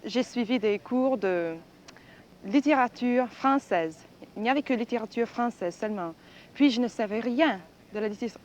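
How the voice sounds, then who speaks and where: A woman's voice: medium pitch, a little mellow, muffled recording, slight background noise. Thoughtful young woman student. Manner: thoughtful, restarts phrases. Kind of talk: archival radio interview answers.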